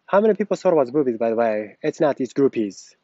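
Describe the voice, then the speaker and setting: Learner in his forties reciting a speech from memory; warm, dry, slightly dull earbud sound. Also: mid-range voice, big pitch swings, fast talker, dry recording. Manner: recites from memory, repeating and correcting phrases. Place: beside a plain wall with a vent.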